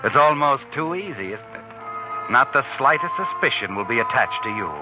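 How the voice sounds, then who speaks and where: An actor playing a sinister, taunting, all-knowing narrator in a 1940s radio suspense drama, insinuating and dramatic, on a muffled old recording. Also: male voice, medium pitch, lively intonation, moderate pace, music in the background, close to an everyday voice. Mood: taunting, ominous.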